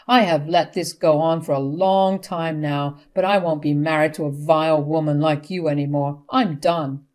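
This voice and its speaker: A woman's voice: deep voice, steady tone, normal speed, darkish tone, close to an everyday voice. Woman acting a stern, indignant older husband. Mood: stern anger. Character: angry, morally firm older husband divorcing his wife.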